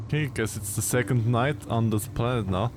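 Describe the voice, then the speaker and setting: A man's voice: medium pitch, normal speed, background noise. A man with a German accent, a native German speaker, chatting casually and teasingly with viewers while he plays a game on a live stream. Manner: playful, teasing, chuckles often. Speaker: VTuber streamer.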